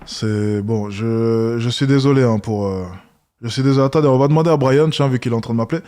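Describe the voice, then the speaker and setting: A man's voice: mid-range voice, a little fast, warm tone. A man hosting a live stream, reacting with hesitant, wry commentary full of restarts.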